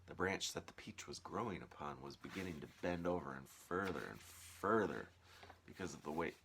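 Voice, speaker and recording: A man's voice: deep voice, expressive, normal speed, a bit bright, a little hiss or hum. A man reading a storybook aloud like a teacher, expressively and with dramatic, varied emphasis.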